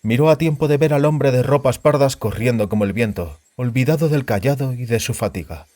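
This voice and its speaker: A man's voice: mid-range voice, brisk, a little mellow, no room sound. A man reading a science fiction story aloud as a scripted audiobook narration.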